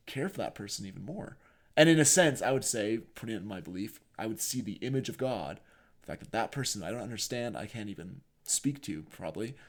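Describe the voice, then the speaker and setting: A man with a fairly low voice chats as a podcast co-host. His delivery is casual and conversational, and he comes across as earnest and reflective.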